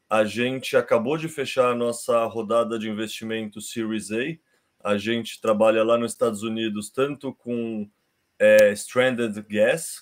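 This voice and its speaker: A man in his thirties from Brazil with a Brazilian Portuguese accent, talking as a podcast guest in an unhurried, explanatory way with frequent restarts. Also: fairly low pitch. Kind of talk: casual conversation.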